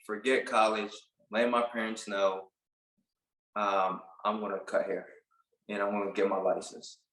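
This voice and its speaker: A man in his late twenties from Puerto Rico, a barber telling his story in a casual interview, relaxed and halting with many fillers. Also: on the low side, reverberant, poor audio. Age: late 20s. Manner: relaxed, halting, lots of "like" and restarts. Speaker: barber and business owner, guest.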